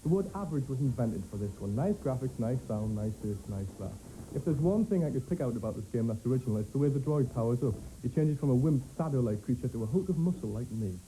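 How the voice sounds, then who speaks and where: Dismissive, quick-talking reviewer, warm mellow tone. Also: male voice, mid-range voice, animated, slight background noise, low-quality recording. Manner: dry, dismissive. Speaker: game reviewer.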